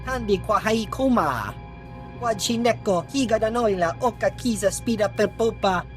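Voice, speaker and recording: A woman's voice: medium pitch, lively intonation, normal speed, close to an everyday voice. A woman voicing an alien gangster who speaks an invented alien tongue in a video game. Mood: taunting alien chatter. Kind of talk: video game creature dialogue. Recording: faint music under the voice.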